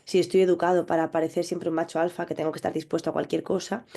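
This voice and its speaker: A woman sexologist answering interview questions, explaining in a measured, thoughtful way with small restarts. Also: lowish voice, level tone, gravelly, dark tone. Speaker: clinical sexologist guest.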